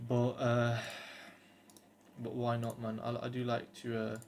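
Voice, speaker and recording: A man's voice: mid-range voice, completely flat delivery, unhurried, a little mellow. A British man in his twenties talking casually and earnestly to camera, hesitant and rambling, with faint background noise. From United Kingdom. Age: 20s. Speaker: vlogger.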